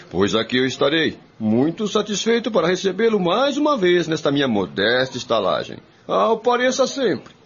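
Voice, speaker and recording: Casting: male actor as friendly radio-drama innkeeper, encouraging and courteous, very lively delivery, husky gravel in the voice. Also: a little high, normal speed, heavily acted. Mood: cheerful. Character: simple, attentive innkeeper, friendly and reassuring man. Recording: dull lo-fi audio.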